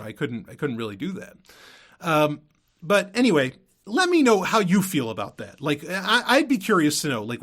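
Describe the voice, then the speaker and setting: A man with a fairly high voice chats solo to his podcast listeners. His talk is informal and loosely strung, looping back over the same point, with some mild swearing. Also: fairly bright tone. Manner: rambling, circles back and repeats himself; informal, mildly profane. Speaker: podcast host. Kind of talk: casual solo chat to listeners.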